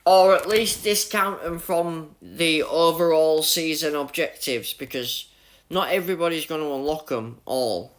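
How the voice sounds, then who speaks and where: A man's voice: fairly high pitch, unhurried, a bit bright. A young man with a Yorkshire accent chatting casually with his live-stream viewers while playing a racing game, greeting them by name and laughing at his own luck. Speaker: gaming livestreamer.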